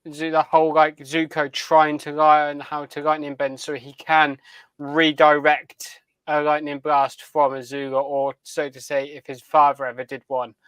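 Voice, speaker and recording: Guy bantering on a podcast, a bit higher voiced, clean and close dry sound. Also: monotone, a little slow, a bit bright, smooth, no room sound. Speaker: podcast panelist. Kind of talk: casual fan panel chat.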